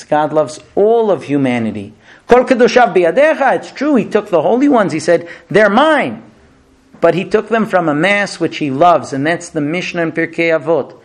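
A man giving a sermon as a rabbi and teacher, telling a story and asking rhetorical questions, often repeating words. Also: high voice.

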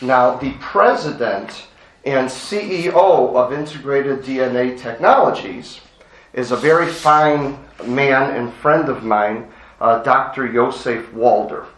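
A man's voice: mid-range voice, fairly bright tone. A man from Chicago with a Chicago accent, giving a chatty public lecture full of fillers and jokes at his own expense. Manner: chatty, self-joking, halting with many fillers. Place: crowded lecture room. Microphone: amplification mic, far from recorder. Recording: echoey room from far off.

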